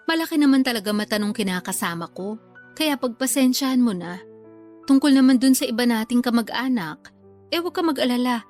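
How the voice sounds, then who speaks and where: A woman plays a stubborn older sister, already a grandmother, in a high voice. She is touchy and wheedling, pleading her side in radio drama style. Soft music plays underneath. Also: animated, brisk, lightly acted. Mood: coaxing, apologetic. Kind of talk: Tagalog radio drama acting.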